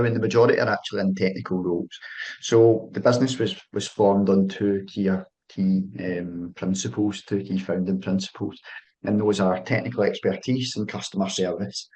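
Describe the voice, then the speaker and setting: A young Scottish man presenting a business software webinar over a video call, friendly and full of "um"s and restarted phrases. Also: lowish voice, fairly even intonation, fairly quick, rough recording. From Scotland. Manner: filler-heavy, restarts phrases, friendly sales tone.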